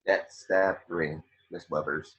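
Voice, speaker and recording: A deep-voiced, husky veteran homicide detective read by a man at a table read. He is firm and exasperated in a film script scene. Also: fairly even intonation, slow pace, a bit bright, dull audio, heavily acted.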